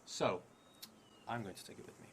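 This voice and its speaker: A man in his thirties with a creaky voice, thinking aloud and reading as he plays a solo tabletop game, halting and trailing into murmurs. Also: a little hiss or hum. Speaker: solo tabletop roleplayer streaming.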